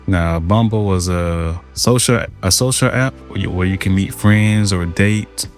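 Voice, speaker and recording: A man speaks in a low, deep voice. He talks earnestly and heartfelt, repeating phrases for emphasis. Soft music plays under him. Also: measured pace. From Georgia, USA. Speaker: interviewee. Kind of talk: interview, personal story. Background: background music.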